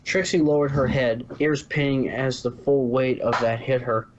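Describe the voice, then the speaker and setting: A man's voice: medium pitch, steady tone, moderate pace. Man narrating fan fiction, slightly lo-fi. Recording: dull lo-fi, faint background noise.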